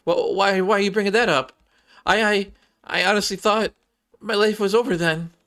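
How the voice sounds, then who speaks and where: A man's voice: high voice, steady tone, normal speed, fairly bright tone, pitched above the performer's own voice. A man voicing a timid, nervous girl in a game, stammering hesitantly and apologetically. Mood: flustered.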